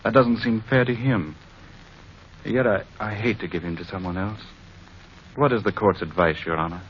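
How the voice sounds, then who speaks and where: Guy acting a worried loving dad on old time radio, lowish voice, muffled hissy recording. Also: moderate pace, natural voice. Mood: troubled. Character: troubled, tender father abandoned by his wife. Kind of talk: 1940s-style radio drama acting.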